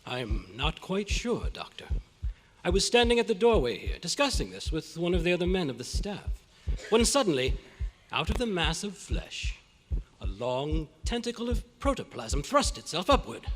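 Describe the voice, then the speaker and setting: A man playing a frightened, earnest doctor in old-time radio horror, speaking in a halting, melodramatic way. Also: sits high, big pitch swings, big-room sound. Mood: shaken, awed. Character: shaken male doctor, earnest frightened eyewitness. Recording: noisy live meeting room recording.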